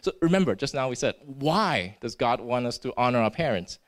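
A man's voice: mid-range voice, expressive, fairly quick. A man from Singapore preaching a sermon in an earnest way. He often tags his points with "right?" and restarts his words.

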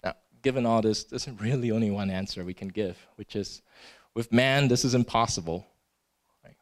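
A man's voice: fairly low pitch, normal speed. A man from Singapore preaching a sermon in an earnest way. He often tags his points with "right?" and restarts his words.

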